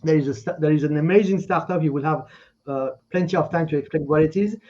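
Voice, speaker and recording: High-voiced male host, dull audio. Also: a little mellow. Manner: halting, repeats words, effusive. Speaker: interview host. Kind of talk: live online interview, effusive welcome.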